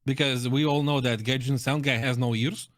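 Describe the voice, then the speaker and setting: A man talks casually as a guest creator giving his review. He explains in halting runs, pausing to hunt for words. His mid-pitched voice is close and dry. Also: steady tone, no room sound. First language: Russian. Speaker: guest content creator and streamer. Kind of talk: casual chat, reviewing game voice lines.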